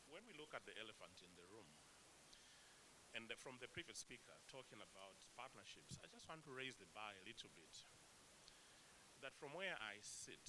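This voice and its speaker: Earnest Zambian man, lively pitch. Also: noisy background. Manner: earnest, emphatic, many hesitations and rhetorical questions. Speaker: conference delegate. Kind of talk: impassioned floor remarks at a regional meeting. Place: meeting room.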